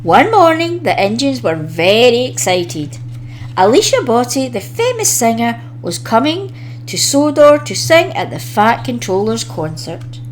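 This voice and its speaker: A woman reading a children's story aloud as an expressive storyteller. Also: on the high side, measured pace, a little hiss or hum, low-quality recording.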